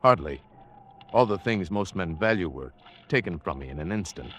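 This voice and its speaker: A man's voice: sits low, animated, normal speed, a bit bright, slight background noise. An actor playing a guarded, solitary yachtsman who narrates his adventure in an old-time radio drama, firm and protective. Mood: subdued, confiding. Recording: old radio recording, music underneath.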